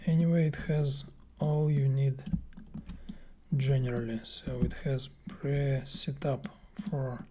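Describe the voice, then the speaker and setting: Slow breathy man walking through a tutorial. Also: a little high, mellow. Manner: slow, halting, thinking aloud with many fillers. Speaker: game plugin developer. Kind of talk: screen-recorded software tutorial.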